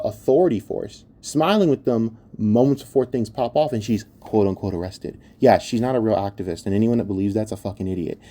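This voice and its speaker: A man's voice: fairly low pitch, mellow. A man giving an opinionated commentary rant in a blunt, exasperated and scornful manner, swearing heavily. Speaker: online commentator.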